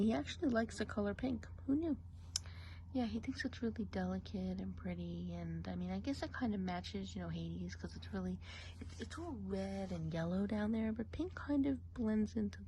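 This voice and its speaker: A woman playing a gossipy witch of the woods in an ASMR role play, chatting along in a soft, rambling whisper. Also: medium pitch, a little hiss or hum, lightly acted. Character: gossipy female forest witch.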